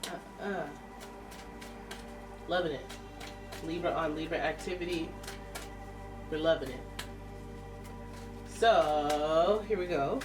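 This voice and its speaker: A woman's voice: low-pitched, slow, long pauses, far from the mic, over music, rough recording. A woman with a stuffy nose giving a casual, chatty tarot reading, exclaiming and thinking aloud as she turns the cards. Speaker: tarot reader.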